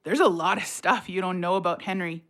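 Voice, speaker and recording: A woman's voice: fairly low pitch, fairly quick, a bit bright. An actress playing a bitter, sardonic widowed mother of three in a naturalistic audio play, blunt and colloquial in her talk. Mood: angry, accusing. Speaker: voice actor in audio drama.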